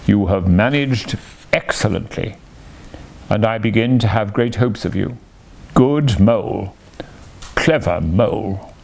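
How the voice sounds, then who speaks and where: Man doing a dry quiet old badger, slow and measured, gravelly low voice, storybook. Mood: approving.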